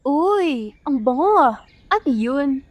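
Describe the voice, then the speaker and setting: Woman acting a cheeky, high-voiced Filipino younger sibling. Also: very animated, slow pace, no room sound, heavily acted. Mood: curious. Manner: teasing, complaining. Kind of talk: radio drama acting.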